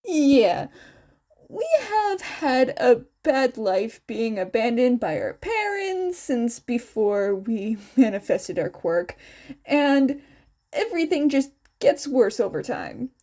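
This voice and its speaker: A woman's voice: fairly high pitch, very animated, slow pace, mellow, heavily acted. A woman voicing an easygoing, matter-of-fact teenage boy who explains things casually, in a fan fiction story reading. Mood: explaining.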